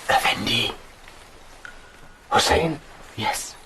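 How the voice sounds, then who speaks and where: An actor playing a secretive servant guide who gives hushed, urgent commands. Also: male voice, mid-range voice, extremely slow, background noise.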